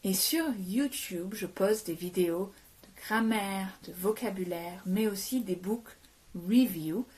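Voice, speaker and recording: A woman's voice: mid-range voice, slow, a little mellow. A woman from France giving a casual French lesson on a phone live stream. She chats, greets viewers, answers their comments and slips English words into her French. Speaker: French teacher. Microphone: phone held for a live stream.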